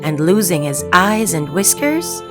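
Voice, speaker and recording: A woman narrating a scripted children's bedtime story in a gentle, measured, soothing manner. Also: lowish voice, very animated. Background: music.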